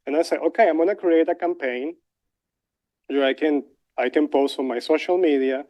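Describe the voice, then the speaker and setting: A young man from Venezuela with a Spanish accent, telling a personal story as a lecturer, halting with many fillers and restarts. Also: on the high side, lo-fi sound.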